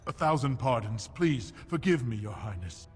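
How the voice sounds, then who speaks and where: A man's voice: mid-range voice, expressive, moderate pace, slightly rough. An actor playing an obsequious male dwarf market merchant in a video game, servile and ingratiating, in a reverberant space. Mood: pleading. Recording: reverberant hall, music underneath.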